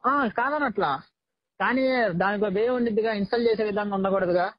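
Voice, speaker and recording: A man's voice: sits high, brisk, no echo. A young man chatting informally and in slang on a recorded phone call. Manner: informal, slangy, says "bro" often. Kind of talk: casual phone conversation.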